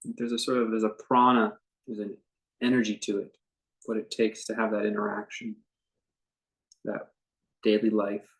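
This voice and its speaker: A man leading a guided meditation as a teacher, speaking in an unscripted, conversational way with gentle, halting phrases and long pauses. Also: fairly low pitch, little pitch movement, vocal fry, poor audio.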